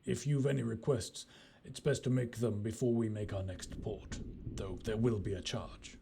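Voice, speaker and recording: A roguish male skyship captain, acted by a man, speaks in a deepish voice with a gravelly grain. He is courteous in an old-fashioned way, wry and mercenary. It is an immersive audio roleplay with some noise and ambience behind the voice. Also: steady tone, normal speed, dark tone. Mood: genial, courteous. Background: ambient sound effects.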